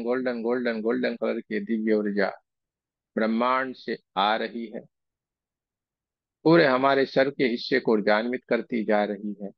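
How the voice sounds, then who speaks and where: A man leading a guided meditation, speaking in slow, soothing, repeated phrases with pauses between them. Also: medium pitch, vocal fry, no echo, dull audio. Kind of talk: guided meditation with chanted invocation and slow repetition.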